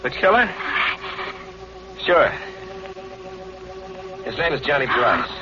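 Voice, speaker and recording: A man's voice: medium pitch, big pitch swings, slow, over music. An actor playing a bitter, betrayed man turned vengeful killer in a sardonic, hard-boiled 1940s radio crime drama. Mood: grim, deliberate. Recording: dull, noisy old radio recording.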